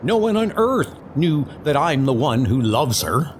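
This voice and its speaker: A man's voice: on the high side, very animated, unhurried, noisy background. A man playing a noble, resolute thunder god, speaking in an earnest, declamatory way for a comic-book dub. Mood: anguished.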